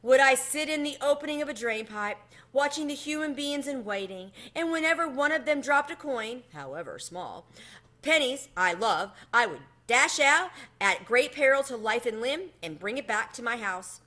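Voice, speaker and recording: Woman acting out little miserly mouse, high-pitched, swooping, choked-up, self-pitying, theatrical storybook character. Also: expressive, normal speed, pushed and bright. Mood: pitiful, grandiose. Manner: theatrical, choked-up, pleading, with quaint inverted phrasing. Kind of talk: children's storybook read-aloud.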